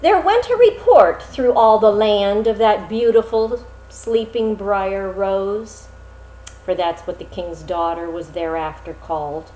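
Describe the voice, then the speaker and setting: A woman storyteller reading a fairy tale aloud in an expressive, dramatic way, with deliberate phrasing and pauses. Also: medium pitch, echoey room, low-quality recording.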